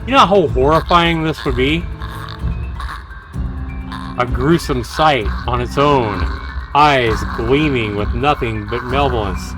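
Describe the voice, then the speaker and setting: A man streams a visual novel, reading the text aloud at an unhurried pace. His delivery turns dramatic for the story and casual for his asides. Game music plays behind him. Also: medium pitch, rough recording.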